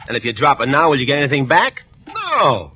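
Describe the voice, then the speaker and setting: An actor playing a pushy, scheming grown son in a 1940s radio drama, talking fast in slangy, wheedling salesman patter. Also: mid-range voice, huge pitch swings, bright, forceful tone.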